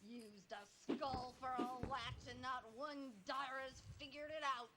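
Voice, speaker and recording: A rambling, eccentric woman from a game cutscene, high-pitched and garbled. The game audio comes through distant, with heavy noise behind it. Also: fairly even intonation, measured pace. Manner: garbled, hard-to-follow delivery.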